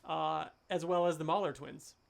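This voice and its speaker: A man co-hosting a podcast, chatting casually and with excitement, thinking aloud and stumbling over hard names. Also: a little high, moderate pace, creaky. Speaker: podcast co-host. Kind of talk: casual chat.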